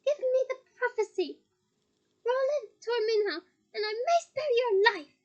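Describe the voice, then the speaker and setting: A woman's voice: very high voice, lively intonation, moderate pace, fairly bright tone, muffled recording, voice pushed up. A woman voicing a frantic, fanatical female witch villain who shrieks and sobs in desperation. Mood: threatening.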